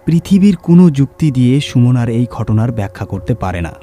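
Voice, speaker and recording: A man narrating a scripted horror story in a measured, suspenseful, dramatic storytelling manner. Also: medium pitch, sing-song, warm tone. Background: music.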